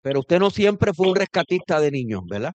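A male radio interviewer with a fairly high voice asks probing questions. His voice comes through dry and dull on the broadcast. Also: big pitch swings, a little fast, no room sound. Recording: dull muffled radio sound.

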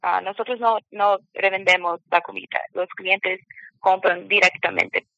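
A woman from Brazil with a Brazilian Portuguese accent, a phone guest speaking halting Spanish as a learner, stopping to search for words. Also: medium pitch, fairly even intonation, vocal fry, pushed and bright, no room sound, lo-fi sound. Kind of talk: phone interview. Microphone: phone line.

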